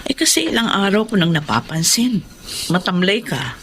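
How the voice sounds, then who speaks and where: A woman's voice: mid-range voice, big pitch swings, moderate pace, a bit bright, slight background noise. A woman playing a worried, caring mother of a grown son in a Filipino radio drama. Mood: concerned.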